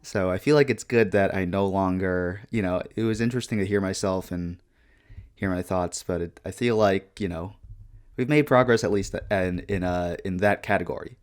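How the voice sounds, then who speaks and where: A man in his twenties talking casually and reflectively over gameplay, in a deadpan monotone with lots of "like" and "um". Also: deep voice, moderate pace. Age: 20s. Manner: deadpan monotone with many fillers. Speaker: YouTuber.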